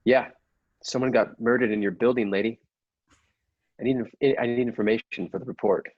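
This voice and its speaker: A man playing a blunt, grim police inspector in a radio play, speaking in a curt, deadpan way. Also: mid-range voice, a little fast, slightly rough, highs cut off, lightly acted. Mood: grim, businesslike.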